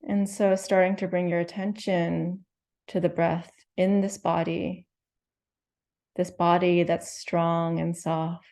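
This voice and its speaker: Meditation teacher, female, low, warm tone with a soft gentle delivery. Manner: slow, gentle, soothing instructions with long pauses. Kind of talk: guided meditation.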